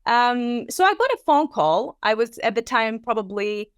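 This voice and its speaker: A woman's voice: fairly high pitch, sing-song, moderate pace, clear, resonant tone, no echo, clean studio sound. A woman in her thirties, born in Armenia with Armenian as her first language, giving an online presentation in a conversational way, full of fillers and acted-out quotes. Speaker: teacher trainer.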